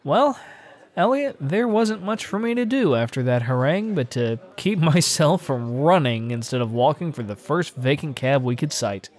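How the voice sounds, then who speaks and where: A man narrating a classic horror story in a dramatic, literary audiobook style. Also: medium pitch.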